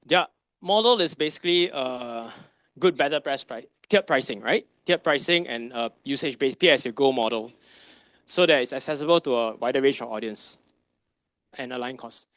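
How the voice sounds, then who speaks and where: A man's voice: mid-range voice, moderate pace, fairly bright tone, dry recording. A man presenting a masterclass in a conversational way, often tagging "right?" and asking rhetorical questions. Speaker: masterclass presenter, finance advisor. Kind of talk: conference lecture.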